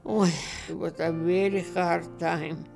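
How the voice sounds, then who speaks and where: A woman's voice: fairly low pitch, level tone, slow, vocal fry. A woman, speaking in simple broken English in a heartfelt personal interview. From former Soviet Union. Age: 80s. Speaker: elderly client of a family service. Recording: soft music underneath.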